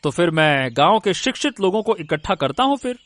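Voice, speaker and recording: A man's voice: high-pitched, very animated, brisk, a bit bright, dry recording. A man playing an eager, bashful educated young villager in a cartoon story, polite and easily flustered. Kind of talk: Hindi cartoon story acting.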